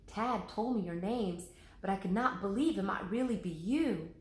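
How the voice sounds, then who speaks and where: A woman's voice: mid-range voice, animated, echoey room, lightly acted. A woman voicing a worried, thoughtful older man in a storybook reading. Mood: measured. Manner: slow, measured, hushed.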